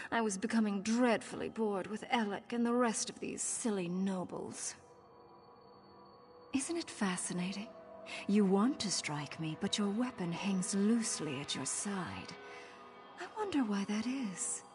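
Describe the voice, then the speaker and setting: A woman's voice: medium pitch, darkish tone. A woman playing a seductive, domineering vampire noblewoman in a video game, purring and teasing with lofty, mocking sweetness.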